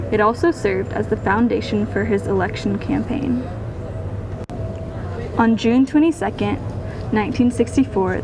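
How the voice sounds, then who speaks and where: A woman reading scripted newsreel narration as the narrator. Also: medium pitch. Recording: clearly noisy background.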